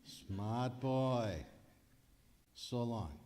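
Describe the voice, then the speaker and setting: Man playing a mellow, sly racetrack tout. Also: mid-range voice, very slow, a little hiss or hum. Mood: sly, confiding. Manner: clipped, confiding, slangy. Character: sly, insistent male racetrack tout pitching cigarettes. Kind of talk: old-time radio comedy acting. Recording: echoey hall, live stage performance.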